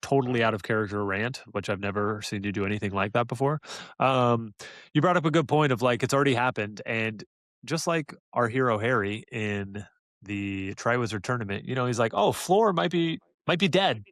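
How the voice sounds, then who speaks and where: A man's voice: medium pitch, moderate pace, a bit bright, no echo, studio quality. A man hosting a podcast in casual chat, with a joking, playfully teasing manner. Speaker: podcast host.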